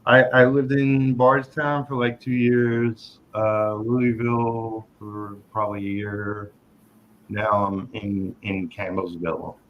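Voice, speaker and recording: A host from New York talks in a lowish voice with plenty of hesitation and restarts. The sound is dull and lo-fi, with a faint noise behind it. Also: male voice, little pitch movement, slow. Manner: hesitant, many ums and restarts. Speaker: podcast host. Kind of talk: casual interview chat.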